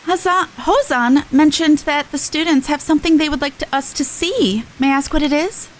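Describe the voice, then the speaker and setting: A woman's voice: high voice, moderate pace, a little hiss or hum, lightly acted, rough recording. A woman playing a dignified, gracious leader in a video game, speaking formally and with composure. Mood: gracious, polite.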